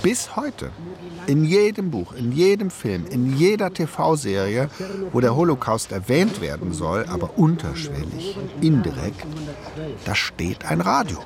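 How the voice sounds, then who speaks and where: Gravelly guy explaining history, slow dramatic pauses, loud crowd behind him. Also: fairly high pitch, big pitch swings, heavily acted. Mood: emphatic, deliberate. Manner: deliberate, emphatic pauses.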